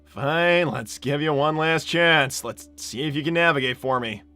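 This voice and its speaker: A man's voice: a little high, unhurried, bright, forceful tone. A man playing a cocky but kindly young hedgehog hero, stammering and rambling casually in improvised game role-play. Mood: exasperated, reluctant. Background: music.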